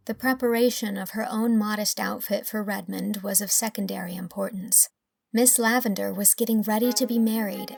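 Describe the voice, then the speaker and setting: A woman's voice: medium pitch, gravelly. A woman narrating a novel as a scripted audiobook.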